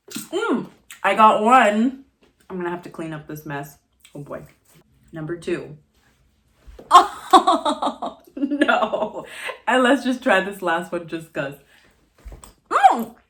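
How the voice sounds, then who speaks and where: Slow, playful young woman vlogging. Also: mid-range voice, fairly bright tone. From Montreal, Canada. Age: 20s. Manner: playful, chatty, laughs often. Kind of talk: casual chat to camera while eating.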